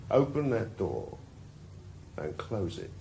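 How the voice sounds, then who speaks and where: An actor playing a stern police officer in a 1980s radio drama. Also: male voice, fairly low pitch, sing-song, takes it slowly, vocal fry, mellow, slight background noise, exaggerated voice. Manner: stern, authoritative.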